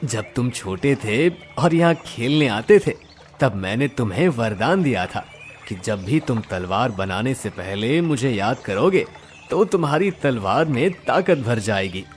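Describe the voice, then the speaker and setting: A man voicing a kindly river god in a cartoon moral story, reassuring with calm authority. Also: medium pitch, measured pace, faint music behind, lightly acted. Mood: benevolent, explaining.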